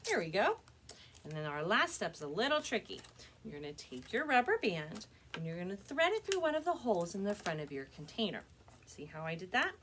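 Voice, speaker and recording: A woman's voice: medium pitch, sing-song, a little fast, fairly bright tone, a little hiss or hum. A woman presenting a step-by-step craft demonstration for children as a librarian, speaking to her young viewers in a friendly, direct way.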